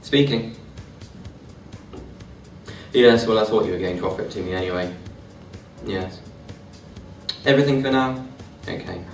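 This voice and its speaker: A man plays a low-voiced, arrogant young job seeker, curt and dismissive, sure he is the best. The amateur sketch is echoey and picked up from a distance, with faint music underneath. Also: normal speed, long pauses, lightly acted. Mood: curt, entitled. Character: smug, overconfident young male job applicant.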